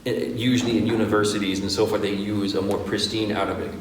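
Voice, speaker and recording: Man, imam, conversational lecture, rhetorical questions, deep-ish voice, far from the mic, long hall reverb. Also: level tone, fast talker, a little hiss or hum. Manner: conversational, asks rhetorical questions like "right?" and "okay?"; demonstrates Arabic pronunciation. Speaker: imam teaching Quran workshop. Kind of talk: informal religious lecture.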